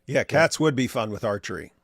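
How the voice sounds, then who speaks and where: A man's voice: medium pitch, lively intonation, a little fast, fairly bright tone, husky, dry recording, clean studio sound. A man co-hosting a casual talk show, joking and repeating his lines for effect. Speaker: co-host. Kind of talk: casual reaction chat.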